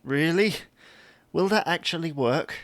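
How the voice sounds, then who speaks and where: A man's voice: a little high, a little slow, no room sound, lightly acted. A man playing a grumpy, whining, babyish husband in an audio comedy drama, grouchy and plaintive in manner.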